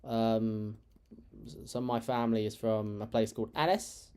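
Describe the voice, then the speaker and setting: A man's voice: on the low side, level tone, moderate pace, warm tone, clear, resonant tone. A man from England whose English accent has Irish touches. He chats casually as a streamer while playing a game, rambling with many fillers and restarts. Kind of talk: casual chat while gaming.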